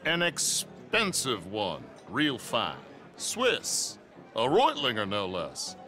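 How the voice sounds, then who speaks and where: A man's voice: medium pitch, huge pitch swings, slow pace, pushed and bright, faint music behind, heavily acted. A man playing an arrogant, sneering rich gambler. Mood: haughty. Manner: haughty, sneering.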